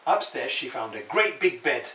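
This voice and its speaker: A man reading fairy tales aloud to a child, patient and playful, pausing to let the child fill in words. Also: medium pitch, sing-song, pushed and bright, echoey room. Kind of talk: reading bedtime stories aloud.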